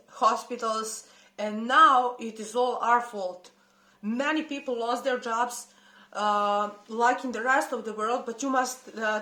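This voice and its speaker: A woman from Serbia with a Serbian accent, giving an impassioned but halting unscripted statement. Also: on the high side, bright, forceful tone. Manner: impassioned, halting with many hesitations. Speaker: protest commentator. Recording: roomy echo.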